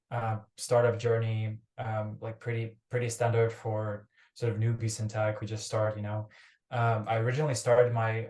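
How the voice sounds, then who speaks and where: A man's voice: medium pitch, a little mellow. A young man from Ukraine, a startup founder talking casually as a podcast guest, rambling and restarting his phrases. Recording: roomy echoey remote recording.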